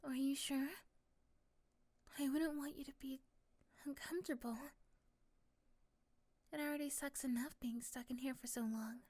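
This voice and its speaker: Casting: actress as a lovestruck young woman, high and unhurried, soft and sleepy, sweetly teasing, for romantic ASMR audio roleplay. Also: steady tone. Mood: tired, concerned.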